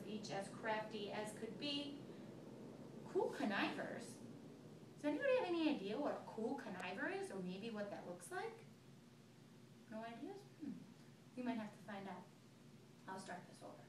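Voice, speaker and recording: A woman teacher reading a picture book aloud to a child, telling it expressively and stopping to ask questions. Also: a little high. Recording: echoey room, recorded from far off, noisy.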